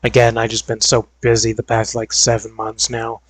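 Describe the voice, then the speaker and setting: A young man talking in a casual, rambling way with lots of fillers, as a video creator chatting before gameplay. His voice is starting to go hoarse. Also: fairly low pitch, completely flat delivery, normal speed, no room sound. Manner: rambling, filler-heavy and hedging. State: voice going hoarse. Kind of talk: casual gaming vlog chat.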